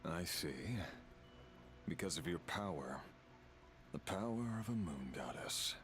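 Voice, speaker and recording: An actor playing a brooding, remorseful fallen villain in a video game, speaking slowly and solemnly with halting phrases. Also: male voice, sits low, lively intonation, a bit bright, a little hiss or hum, heavily acted. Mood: grave. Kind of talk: dramatic video game dialogue. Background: music.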